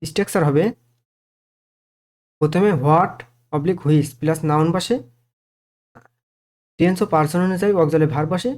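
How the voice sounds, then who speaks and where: A man's voice: a little high, moderate pace, long pauses, darkish tone. A man with a Bengali accent teaching grammar step by step, asking questions and answering them himself, with frequent check phrases. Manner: didactic, asks and answers own questions, repeats check phrases.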